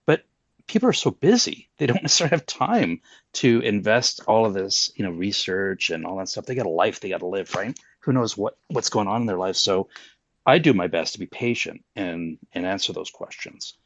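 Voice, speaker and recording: A man's voice: lowish voice, animated, moderate pace, a bit bright, no room sound. A man talking informally and a little hesitantly as host of a casual online group discussion. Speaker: host of a crypto audio discussion. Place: live online audio room, close and dry. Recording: slightly dull online audio, dry.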